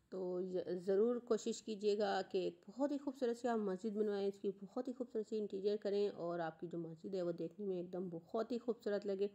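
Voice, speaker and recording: A woman narrates a casual vlog voice-over. Her voice is soft and mellow, sitting at an ordinary middle pitch. She talks unhurriedly, pausing on fillers and repeating gentle praise. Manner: unhurried, many hesitation fillers. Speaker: channel host.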